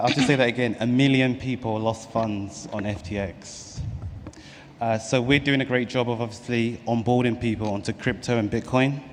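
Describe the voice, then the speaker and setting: A man with a London accent moderating a conference panel, speaking informally to introduce the session. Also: medium pitch. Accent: London English. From London, England. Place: large conference hall on stage. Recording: echoey hall PA, noticeable noise.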